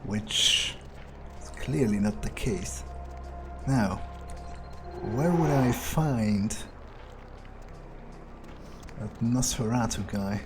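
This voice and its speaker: A man's voice: mid-range voice, slight background noise. A man giving in-character commentary on a video game, thinking aloud in a cocksure, sweary way. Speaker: gamer playing in character. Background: faint game music.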